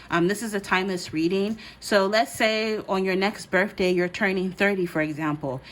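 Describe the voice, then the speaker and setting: A woman's voice: fairly low pitch, rough recording. A woman from the United States and Ghana, giving a casual, conversational card reading as she speaks directly to her listeners. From born in the United States, grew up in Ghana. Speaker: host, tarot card reader.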